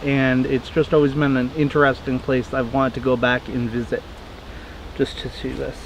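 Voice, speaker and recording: A man's voice: mid-range voice, level tone, moderate pace, a little hiss or hum, low-quality recording. A man in his twenties chatting casually outdoors in a wooded yard, rambling and correcting himself as he answers viewer questions. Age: 20s. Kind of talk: casual Q&A vlog.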